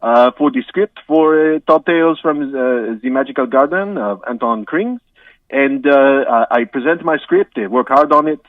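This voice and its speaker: A man voicing a bumbling male French film director with a French accent, calling in and stumbling through halting broken English full of fillers. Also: medium pitch, dry recording, lightly acted. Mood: aggrieved. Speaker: phone-in guest. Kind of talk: comedy sketch interview. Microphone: telephone. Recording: tinny, distant phone line.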